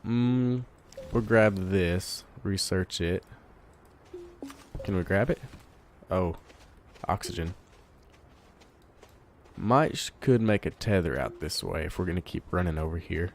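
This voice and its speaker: A man with a congested voice from a cold, giving relaxed let's play commentary, thinking aloud while he plays. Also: deep voice, takes it slowly, long pauses, slight background noise. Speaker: gamer.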